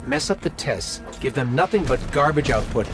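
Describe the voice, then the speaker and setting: An actor voicing an eager, curious little robot companion in a video game, earnest and conversational. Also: male voice, medium pitch, lively intonation, moderate pace, over music, natural voice. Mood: determined, eager. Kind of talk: video game cutscene acting.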